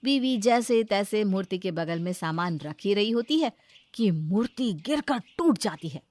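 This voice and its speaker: Woman narrator reading a comic family story, medium pitch, very dry close sound. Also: animated, normal speed, darkish tone, no echo.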